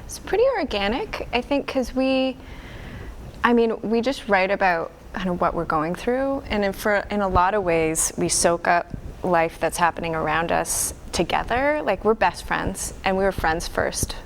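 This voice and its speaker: A woman's voice: medium pitch, animated, moderate pace, a little hiss or hum. A woman in her forties from the Toronto area, a folk singer answering interview questions with eager agreement. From Toronto area, Canada. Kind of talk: casual interview chat.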